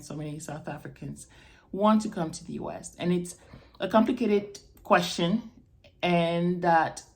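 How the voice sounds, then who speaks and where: Woman, slightly low voice, from Morocco, unscripted video blog, explanatory, addresses listeners directly. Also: a little mellow. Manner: explanatory, checks in with "okay?" and "right?". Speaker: video blogger. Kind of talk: unrehearsed personal talk to camera.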